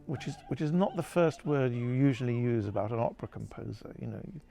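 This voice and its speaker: A man gives reflective interview commentary in a mid-range voice with plenty of creak. He pauses and searches for words as he thinks aloud. Music plays behind him. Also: mid-range voice, expressive, warm tone. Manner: thoughtful, halting, searching for words.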